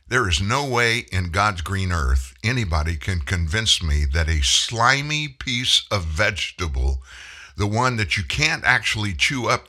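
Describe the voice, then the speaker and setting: A middle-aged man from Houston hosts his radio show from the studio, spinning chatty, folksy stories. His voice is very deep and husky. It still carries a forceful, bright edge. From Houston, Texas. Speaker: radio talk show host. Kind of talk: casual monologue. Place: broadcast studio. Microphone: studio microphone.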